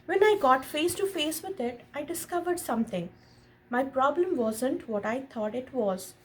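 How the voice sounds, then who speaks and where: High-voiced woman reading aloud, noisy background. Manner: expressive reading, pausing to explain and ask questions. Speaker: parent reading a picture book aloud to their child. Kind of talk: read-aloud storytelling with casual asides to a child and viewers. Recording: noticeable background noise.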